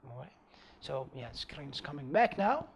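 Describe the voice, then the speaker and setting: A man in his thirties from Bahrain with an Arabic accent, a native Arabic speaker, explaining science to camera in an emphatic, animated way. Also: fairly high pitch, a little slow, a little hiss or hum. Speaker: science video host.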